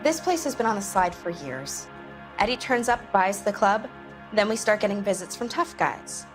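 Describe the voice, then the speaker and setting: An actress playing a world-weary nightclub worker in a 1940s noir game, wry and knowing. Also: female voice, medium pitch, expressive, moderate pace, over music, lightly acted, poor audio. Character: world-weary woman who works at a nightclub. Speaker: nightclub witness.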